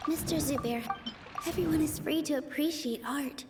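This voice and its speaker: Actress as a high-voiced, earnest young woman. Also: moderate pace, over music, natural voice. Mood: heartfelt pleading. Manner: earnest, polite, heartfelt. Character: gentle, earnest young woman dancer defending her theater. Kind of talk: video game dialogue acting.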